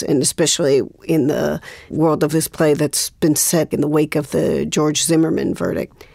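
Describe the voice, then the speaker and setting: Slow, low-pitched woman, deliberate. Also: a little mellow, no echo, clean studio sound. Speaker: actress, interview guest. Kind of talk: reflective interview talk.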